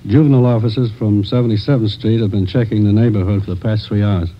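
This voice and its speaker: A weary police lieutenant played by a man with a lowish voice and a plain, businesslike way of reporting. It is old radio drama, with a muffled sound. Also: steady tone, fast talker, lightly acted. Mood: matter-of-fact. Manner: plain, matter-of-fact. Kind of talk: 1950s radio drama acting. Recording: muffled vintage radio recording.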